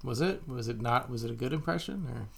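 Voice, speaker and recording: A man co-hosting a podcast in casual chat, teasing with quick quips and frequent laughs. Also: medium pitch, lively intonation, creaky, slightly rough. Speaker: podcast co-host.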